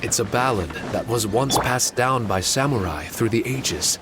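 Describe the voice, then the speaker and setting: An actor playing a calm, solemn man haunted by old memories in a video game, speaking in a measured, formal, reflective way. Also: mid-range voice, heavy background noise, natural voice. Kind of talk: video game character dialogue. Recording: loud music over the voice.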